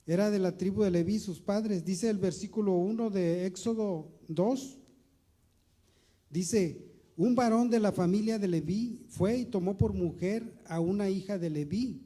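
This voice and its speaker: Male pastor, high-pitched yet dark and mellow, preaching slowly and emphatically with repeated words. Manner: preaching with slow, emphatic stretches and rising emphasis; repeats words while searching. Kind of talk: sermon.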